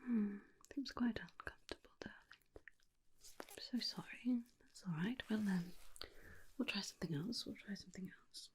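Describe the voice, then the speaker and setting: A tender vampire lady, played by a woman, speaks in a hushed, breathy whisper. She fusses lovingly over her darling, reassuring softly and without hurry. The delivery is intimate ASMR roleplay, gentle and soothing throughout. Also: mid-range voice, natural voice. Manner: soothing, unhurried, tender reassurance with repeated soft phrases.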